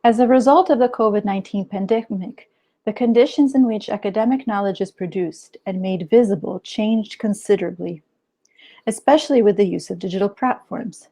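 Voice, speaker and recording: A woman in her thirties, a doctoral student, giving a steady, formal reading of an academic talk. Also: medium pitch, a little fast. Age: 30s. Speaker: anthropology PhD student. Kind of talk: read academic conference talk.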